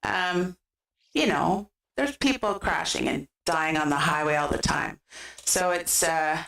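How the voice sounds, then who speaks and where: A woman's voice: low-pitched, measured pace, vocal fry, a bit bright. Canadian lady streamer chatting away, giggly, west coast accent, echoey room, kinda far from the mic. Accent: western Canadian. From British Columbia, Canada. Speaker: gaming streamer. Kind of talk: casual livestream chat. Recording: roomy, distant microphone sound.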